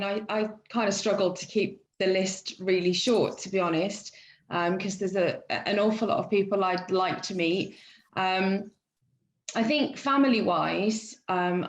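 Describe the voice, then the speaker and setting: Thoughtful woman in an echoey room. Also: mid-range voice. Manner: reflective, hesitant, many fillers. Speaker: student guest. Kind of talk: casual podcast chat.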